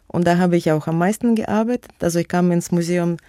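A woman's voice: on the low side, fairly quick, warm tone, dry recording. A woman with a Russian accent, a native Russian speaker, answering radio interview questions about her museum work in a hesitant way, with many fillers and restarts. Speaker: museum curator and guest.

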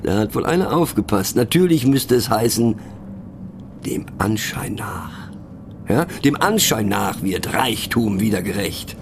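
A man's voice: on the low side, expressive, unhurried, creaky, noisy background. A man playing a pensive, mocking narrator in a satirical radio play monologue, speaking ironically with conversational, questioning asides. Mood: ironic. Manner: ironic, rhetorical, punctuated with little questioning tags. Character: wry, pensive, mocking male narrator musing on words.

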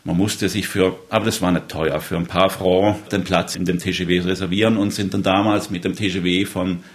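A man in his fifties from southern Germany speaks in a low voice. He tells his memories conversationally, as someone being interviewed. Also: fairly even intonation, fairly quick. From southern Baden, Germany. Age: 50s. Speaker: interviewee recalling rail travels. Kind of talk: conversational storytelling.

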